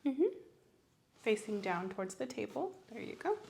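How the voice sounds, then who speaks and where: A soft-spoken woman playing a medical examiner in an ASMR roleplay, gently giving instructions and encouragement. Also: on the high side, sing-song, moderate pace, vocal fry, very noisy.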